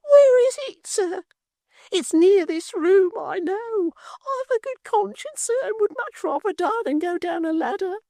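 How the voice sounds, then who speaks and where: Shrill, squealing prim maid, hysterical and affected, soaring high and swooping. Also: female voice, animated, unhurried, dark tone, no room sound, clean studio sound, full character voice. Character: prim hysterical young maidservant, woman.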